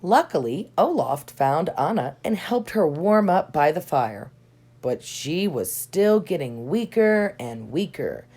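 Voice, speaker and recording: Female children's storybook narrator, slightly low voice, very animated intonation, dramatic emphasis. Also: slow, breathy edge. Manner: dramatic emphasis on key words. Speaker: storybook reader. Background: soft music.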